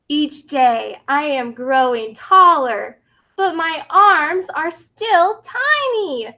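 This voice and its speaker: A woman's voice: high voice, pushed and bright, exaggerated voice. A woman voicing a tiny young T. rex from a children's storybook, childlike, earnest and determined, in short careful sentences. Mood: earnest, wistful. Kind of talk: children's storybook read-aloud.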